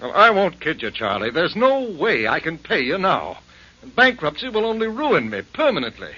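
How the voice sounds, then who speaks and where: A man's voice: a little high, huge pitch swings, normal speed, bright, forceful tone, breathy edge, exaggerated voice. An actor playing a desperate, ruined businessman who pleads for time in a 1940s radio drama. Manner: pleading, strained. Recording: dull lo-fi 1940s radio broadcast.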